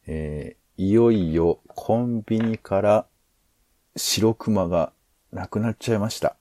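A man's voice: fairly low pitch, slow pace, warm tone, no echo. A man co-hosting a podcast, chatting casually in a discussion. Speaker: podcast co-host.

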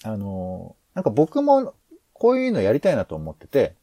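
Man thinking aloud, pitch swinging widely. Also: huge pitch swings, moderate pace, dark tone, dry recording. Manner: hesitant, rambling, thinks aloud. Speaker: podcast host. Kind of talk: casual planning discussion.

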